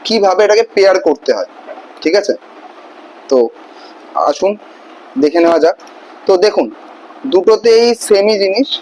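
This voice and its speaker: A man's voice: high-pitched, a little mellow, low-quality recording. A man giving a casual, hands-on vlog tutorial step by step, often checking in with the viewer. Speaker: vlogger demonstrating motorcycle gear.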